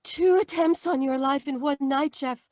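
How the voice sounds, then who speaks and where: An actress playing an earnest, anxious young sweetheart in an old-time radio adventure, speaking dramatically. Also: female voice, high-pitched, normal speed, a little mellow, no room sound, studio quality, lightly acted.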